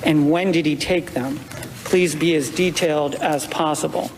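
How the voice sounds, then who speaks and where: A man reads aloud in a formal, official style. His voice is on the high side and rings through a large, reverberant chamber. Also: fairly even intonation, normal speed, a little hiss or hum.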